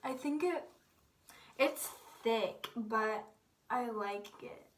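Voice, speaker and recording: A young woman with a soft voice chats casually to viewers like a beauty vlogger, gushing and peppering her talk with "like". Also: fairly high pitch, very slow. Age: teens to young adult. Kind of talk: casual chatty vlog to viewers.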